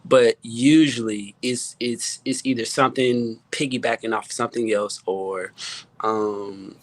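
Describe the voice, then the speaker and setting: A man with an American accent talking casually as a guest music producer, halting and repeating words as he stresses his points. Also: mid-range voice, fairly even intonation, dry recording. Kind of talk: casual panel talk.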